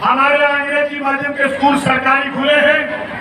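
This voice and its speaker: A man's voice: very high-pitched, completely flat delivery, moderate pace, distant mic. A man, a state legislator, giving a rousing, declamatory public speech at an outdoor Independence Day ceremony. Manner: rousing, declamatory, often shouting. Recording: echoey loudspeaker sound from afar, crowd noise.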